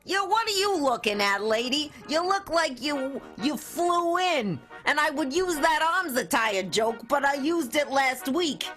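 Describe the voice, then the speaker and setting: A woman's voice: high voice, lively intonation, measured pace, hardly any pauses, a bit bright, soft background music. A woman voicing a brash, cocky vaudeville comedian who talks tough and cracks jokes. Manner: wisecracking, tough-talking. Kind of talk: improvised radio play.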